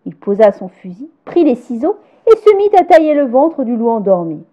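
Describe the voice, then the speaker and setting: Expressive woman reading a fairy tale. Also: a little high, moderate pace, dull audio. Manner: gentle and expressive, drops to a soft hush. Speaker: storyteller.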